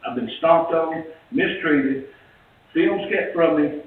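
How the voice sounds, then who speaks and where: A man's voice: on the high side, slow pace. Angry complaining man, distant and tinny. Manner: angry, swearing complaint told in short bursts. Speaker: citizen complaining at a police station. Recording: tinny, echoey clip from far off.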